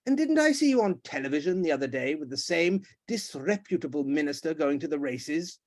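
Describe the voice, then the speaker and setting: A man puts on a pompous old duke in a storybook reading, shouting and blustering with a voice that leaps high and swings wildly. The tirade is loud and theatrical, full of indignation. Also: animated, fairly quick.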